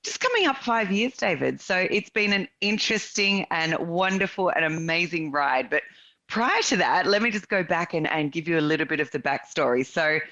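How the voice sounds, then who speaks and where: Bright-voiced Australian woman. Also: on the low side, moderate pace, muffled recording. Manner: lively storyteller, laughs often. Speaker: guest. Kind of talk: casual interview chat.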